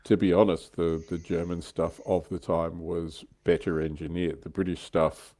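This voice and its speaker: A man's voice: low voice, flat delivery, normal speed, warm tone. A man chatting casually as a livestream host, with a wry, joking manner and blunt slang. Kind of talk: casual hobby chat and commentary.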